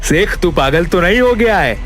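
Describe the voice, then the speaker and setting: Lady doing a puzzled village fellow, voice dropped low, protesting, cartoon style, bit of music. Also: lively intonation, normal speed, close to an everyday voice. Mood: baffled. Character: bewildered, reluctant village man, plain-spoken. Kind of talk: Hindi cartoon dialogue.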